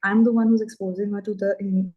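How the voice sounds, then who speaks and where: A woman's voice: mid-range voice, flat delivery, quick pace, highs cut off. A woman with an Indian accent, a parent on an online call, casually asking a teacher for advice.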